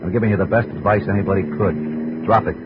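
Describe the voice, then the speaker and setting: A man's voice: low voice, fast, natural voice. An actor playing a shady, coolly menacing gunman in a 1950s radio detective drama, talking in slangy, commanding threats. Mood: firm warning. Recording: muffled, noisy 1950s radio recording.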